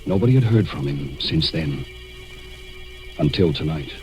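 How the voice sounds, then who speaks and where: Casting: deep-voiced American crime-drama lead, wounded but determined, dramatic 1940s radio delivery, music behind. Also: male voice, expressive, darkish tone. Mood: grave narration. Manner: dramatic, halting in dialogue. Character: wounded, determined man telling of a murder hunt. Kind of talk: 1940s radio acting, first-person narration and dialogue.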